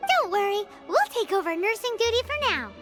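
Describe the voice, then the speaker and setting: A woman's voice: extremely high pitch, big pitch swings, fairly quick. A woman voicing a tiny, curious fairy companion who chirps and talks about herself in the third person. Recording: game audio with clear music.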